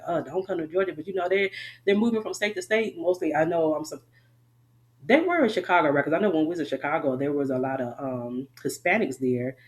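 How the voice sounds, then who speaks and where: A woman's voice: deep voice, darkish tone. A middle-aged woman with a Southern US accent, an army veteran talking candidly and at length in an interview, often laughing. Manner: rambling, frank, laughs often.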